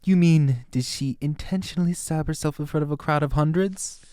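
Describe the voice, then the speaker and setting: A man's voice: a little high, mellow, smooth, dry recording, lightly acted. A man playing a smugly nonchalant fairy witness in an audio drama, speaking in a genteel, arch, theatrical way. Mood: arch, mock-delicate. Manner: genteel, arch, drawn-out phrasing.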